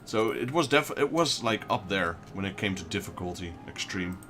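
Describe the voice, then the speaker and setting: Low-voiced Dutch man chatting over gameplay. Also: lively intonation, moderate pace, fairly bright tone, a little hiss or hum. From Netherlands. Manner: chatty, talks back to viewer chat. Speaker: let's play host. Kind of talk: casual gameplay commentary.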